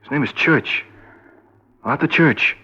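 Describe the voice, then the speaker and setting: An actor playing a guilt-ridden small-time American crook in a radio crime drama, agitated and halting, repeating himself insistently. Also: male voice, fairly high pitch, bright, forceful tone, husky, exaggerated voice. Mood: desperate, insistent. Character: guilt-ridden, nervous male small-time drug peddler turned informant. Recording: muffled old radio broadcast recording.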